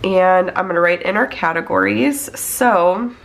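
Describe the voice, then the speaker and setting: A woman's voice: lowish voice, a little slow, vocal fry, a little hiss or hum. A woman in her thirties, congested from a cold, chatting casually as she plans her budget. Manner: chatty, talks through her steps. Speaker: budget planning vlogger. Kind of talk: casual chat while planning on a tablet.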